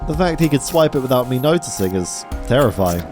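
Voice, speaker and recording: A man's voice: medium pitch, sing-song, a little fast. Man bantering on a game stream, music behind. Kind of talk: casual live-stream banter while reading a visual novel aloud.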